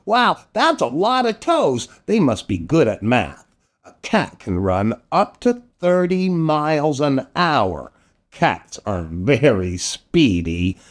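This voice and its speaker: Guy hosting a kids show, goofy and playful, swoopy voice, takes his time. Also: fairly high pitch. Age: middle-aged. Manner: exaggerated, animated, silly. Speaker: children's storytime host and entertainer.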